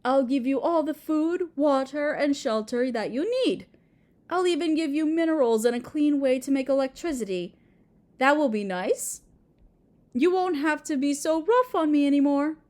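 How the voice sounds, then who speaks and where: A woman voicing a doting, possessive and insecure Mother Earth in an intimate roleplay audio drama, tender, hesitant and sweetly overbearing. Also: high voice. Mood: soothing, possessive.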